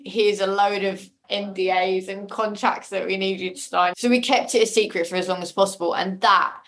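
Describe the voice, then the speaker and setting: A woman's voice: medium pitch, fairly even intonation, moderate pace, fairly bright tone. A woman talking casually and effusively as an interview guest, telling stories and repeating phrases for emphasis. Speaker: audio drama creator and casting lead, interview guest. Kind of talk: casual conversational storytelling in an interview.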